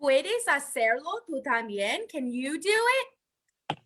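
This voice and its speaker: A woman's voice: sits high, big pitch swings, slow pace, bright, forceful tone, slight background noise. A woman teaching bilingually at an interactive children's story time, upbeat and encouraging.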